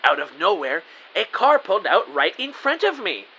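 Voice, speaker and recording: A man playing an earnest, excitable rookie spy who narrates and acts out his own adventure in an audio drama with eager melodrama. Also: high voice, moderate pace, highs cut off, exaggerated voice. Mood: dramatic, anxious. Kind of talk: first-person audio drama narration with dialogue. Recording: faint background hiss.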